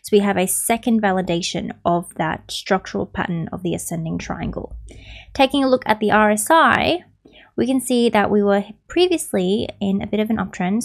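Raspy-voiced young woman explaining charts. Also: mid-range voice. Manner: explanatory, steady, repeats "right?" as a check-in. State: losing her voice, raspy. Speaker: crypto trading analyst. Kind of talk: technical chart analysis walkthrough. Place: small room at home. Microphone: studio mic on stand close up.

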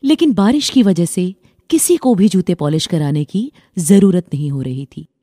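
A woman's voice: on the low side, animated, moderate pace, dark tone, no room sound, studio quality. A woman narrating a scripted children's story as the storyteller.

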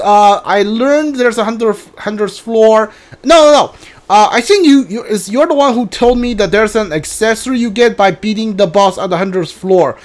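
A man chatting casually on a live game stream, halting and often repeating himself as he talks. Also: high voice.

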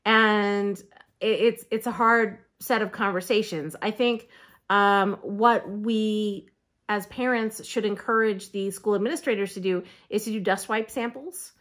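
A woman's voice: mid-range voice, a little slow. Direction middle-aged woman hosting, plain mid voice. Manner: informative, steady, with some "um"s. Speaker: show host. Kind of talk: casual interview over video call. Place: indoor room against a plain backdrop.

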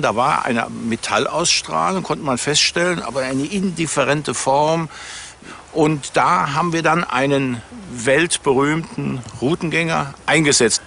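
A man's voice: mid-range voice, pushed and bright. An older man from Germany explaining things in an interview, recorded outdoors. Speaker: treasure hunter and architect, interviewee. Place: outdoors in a meadow by trees. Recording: faint outdoor ambient noise.

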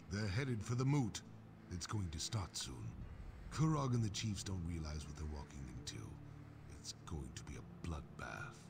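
A man voicing a gruff, blunt, battle-hardened orc warrior in a fantasy video game, with a gravelly voice. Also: low-pitched, noisy background, full character voice. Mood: gruff concern. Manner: blunt, swearing, wry. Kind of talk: fantasy game character acting.

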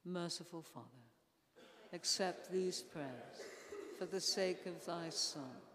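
An older woman leading formal prayers in a solemn, measured way, reading with pauses between phrases. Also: lowish voice, crowd noise. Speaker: church intercessor leading prayers. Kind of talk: formal read liturgical prayer. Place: large church interior. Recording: echoey church hall, long reverb.

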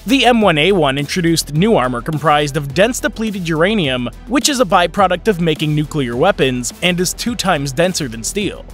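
A man giving scripted documentary narration in an even, informative way. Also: a little high, normal speed, hardly any pauses. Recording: voice-over with faint background music.

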